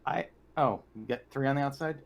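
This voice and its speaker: A man's voice: mid-range voice, a little fast. A man chatting casually as one of the players in a tabletop roleplaying game. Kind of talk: casual chat. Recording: noisy audio, clear background noise.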